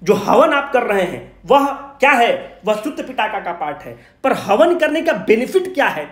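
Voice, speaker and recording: A man teaching an informal exam-coaching class, emphatic and repetitive, posing questions he answers himself and mixing in English phrases. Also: high-pitched. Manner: emphatic, repeats key points; mixes English phrases into Hindi. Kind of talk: informal history lecture with rhetorical questions. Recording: echoey room.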